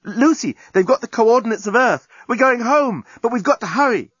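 A man voicing Nettie, an eager, impulsive young woman who speaks high and breathlessly. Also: expressive, moderate pace, fairly bright tone, husky, dry recording, muffled recording, exaggerated voice. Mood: urgent.

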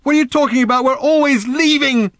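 A man voicing an anguished, furious teenage daughter in a story, shouting in a shrill, frantic voice. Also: a little fast, no echo. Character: wild, anguished, screaming angry teenage daughter.

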